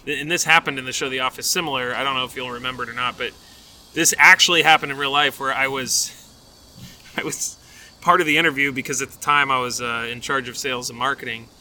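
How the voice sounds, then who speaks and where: Bright-voiced joking man. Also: medium pitch, fairly even intonation, a little fast, a little hiss or hum. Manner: joking, chuckles often while telling stories. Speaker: podcast co-host. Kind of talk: casual chat.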